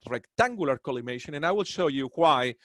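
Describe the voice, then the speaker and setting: Man, Colombian Spanish accent, somewhat high voice, earnest lecturer, webinar talk. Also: expressive, a little fast, a bit bright, no room sound, clean studio sound. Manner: earnest, proud, rambling asides. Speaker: dental professor.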